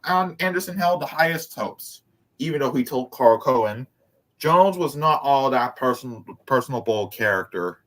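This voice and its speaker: A man's voice: on the high side, fairly bright tone, low-quality recording. A man reading a book aloud with casual asides, sometimes stumbling over words and repeating them. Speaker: host of a group read-aloud.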